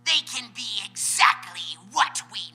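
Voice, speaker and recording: A man's voice: high voice, big pitch swings, measured pace, sharp tone, slightly rough, soft background music, full character voice. A man voicing a shrieking megalomaniac cartoon alien as a spy director in a live comedic read. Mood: bossy, intense. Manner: theatrical, bursts into screamed declarations.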